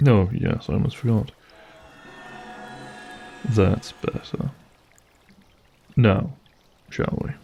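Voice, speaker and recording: A man playing a suave, menacing monster in a scripted audio drama, mock-courteous and theatrical with a gravelly, husky voice. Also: fairly low pitch, very slow, pauses often, dark tone, muffled recording, faint music behind, heavily acted. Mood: menacing, smug. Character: suave, menacing male monster host, mocking and vengeful.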